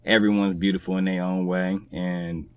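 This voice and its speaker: A man's voice: low voice, flat delivery, moderate pace, smooth, dry recording. A man talking casually as a guest on a podcast. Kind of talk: casual chat.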